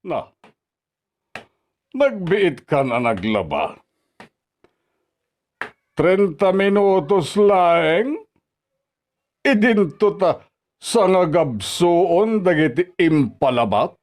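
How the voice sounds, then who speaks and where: A man's voice: high voice, very animated, extremely slow, long pauses, a little mellow, full character voice. A man with an Ilocano accent playing a scornful, nagging father-in-law in a radio drama, scolding with exclamations and sarcastic questions. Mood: angry, nagging. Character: nagging, scornful father-in-law berating his daughter-in-law as lazy. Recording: music bed behind the dialogue.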